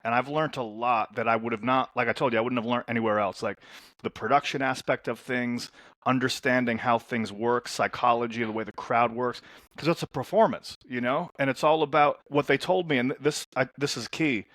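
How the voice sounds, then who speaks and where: Energetic man from Lebanon telling stories. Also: medium pitch, a little fast, no room sound. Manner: energetic, storytelling, repeats words when starting sentences. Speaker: men's transformation coach, guest. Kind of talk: casual interview talk.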